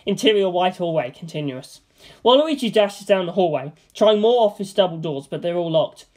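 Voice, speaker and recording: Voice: mid-range voice, lively intonation, normal speed, darkish tone. Screenplay narrator reading stage directions. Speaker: script narrator.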